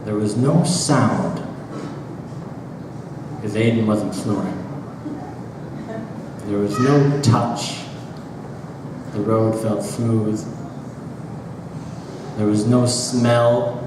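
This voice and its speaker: Guy doing a dramatic story reading, slow pauses, really echoey big room, mic far away. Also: on the low side, fairly even intonation. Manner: theatrical, deliberate, with drawn-out pauses and rhythmic repetition. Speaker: author reading own work aloud. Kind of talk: dramatic literary reading of first-person prose. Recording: very echoey hall, distant mic, background noise.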